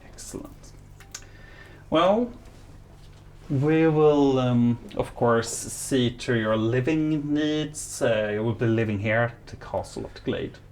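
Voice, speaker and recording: A man playing a cold, haughty noblewoman in a tabletop game, imperious and curt, with halting delivery. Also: medium pitch, a little mellow. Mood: commanding. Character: haughty, impatient Regency noblewoman, cold and commanding mother. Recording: faint background noise.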